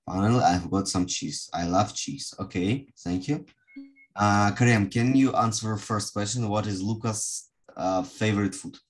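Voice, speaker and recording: Guy teaching english online, low voice, slow, lots of halting pauses. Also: husky. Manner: halting, with long hesitations. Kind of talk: online group lesson, asking pupils questions. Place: online video call.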